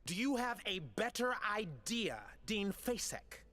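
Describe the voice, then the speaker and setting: Earnest fantasy game councillor, slightly high voice, animated, soft music behind. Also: male voice, a little slow, full character voice. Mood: urgent. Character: earnest, reasonable male council member. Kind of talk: fantasy video game voice acting.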